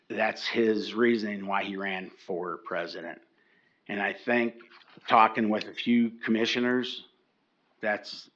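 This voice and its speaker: An older man addressing county commissioners during public comment. He talks slowly and haltingly, restarting and repeating words. His voice sits a bit low and the meeting recording sounds dull and lo-fi. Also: fairly bright tone.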